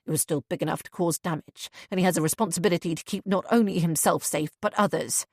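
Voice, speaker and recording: A woman voicing a blunt, protective grown man with a husky, growling voice in a storybook reading. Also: fairly low pitch, a little mellow, no room sound, clean studio sound, pitched below the performer's own voice. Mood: angry. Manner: blunt, sarcastic, quick to anger. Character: gruff protective adult man, teasing brother.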